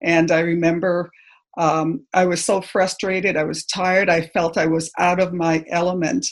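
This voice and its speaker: A middle-aged woman from Canada with no accent, giving a personal testimony in church, hesitant and full of pauses and ums. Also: lowish voice, level tone. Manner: hesitant, halting, many ums.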